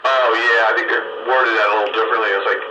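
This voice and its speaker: Male, mid-pitched, casual short interjections; roomy, noisy sound. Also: steady tone, fast. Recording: distant, echoey, muffled, noisy audio.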